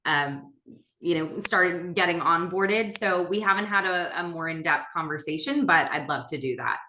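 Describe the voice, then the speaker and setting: A woman's voice: on the low side, moderate pace, pushed and bright. A woman representing a business service, talking casually and professionally on a networking video call. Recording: roomy echo, faint background noise.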